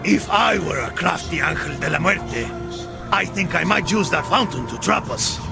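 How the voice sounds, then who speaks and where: A cocky fighter in a crusade video game. The voice is high and creaky, full of incredulous swagger, with music playing behind. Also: male voice, expressive, moderate pace. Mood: cocky amusement. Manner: incredulous, theatrical bravado. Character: wry, cocky Spanish male crusade fighter. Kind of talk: dramatic video game acting.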